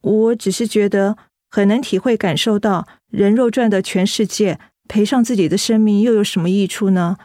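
A woman's voice: medium pitch, steady tone, normal speed, dry recording, clean studio sound, lightly acted. An actress playing a young, ailing office woman who hides her troubles, speaking hesitant, apologetic Taiwanese Mandarin in a radio drama. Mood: weary, evasive. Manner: hesitant, apologetic, softly evasive.

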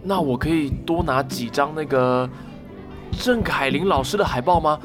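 A man's voice: fairly high pitch, moderate pace, over music, lightly acted. A man playing an obsessive, awkward male admirer in a radio drama, speaking hesitantly with stammers. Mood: hesitant, eager. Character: obsessive awkward male stalker posing as an eager student.

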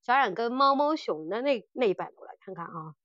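A high-voiced woman hosts a casual fan podcast. She talks in an excited, gushing way, full of exclamations. The sound is close and dry. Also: a little fast, no room sound, highs cut off. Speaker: podcast host. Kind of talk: casual fan chat about audio dramas.

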